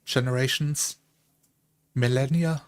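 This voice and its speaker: A man playing a dependable dwarf traveller in a tabletop game, measured, thoughtful and halting. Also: mid-range voice, steady tone, lightly acted. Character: brave, dependable, terse male dwarf traveller.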